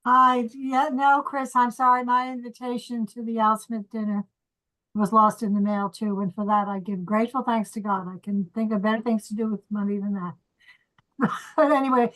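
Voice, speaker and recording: A soft-voiced woman chatting and reminiscing on a video call. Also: on the high side, fairly even intonation, normal speed, poor audio. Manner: chatty, reminiscing, light laughs. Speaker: meeting participant. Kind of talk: casual chat on a video call.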